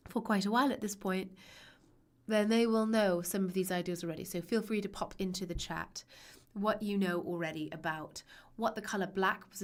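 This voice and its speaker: A young woman teaching a live online lesson, her voice raspy and hoarse from a cold, chatty and reassuring with frequent ums. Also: on the low side. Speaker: literature teacher.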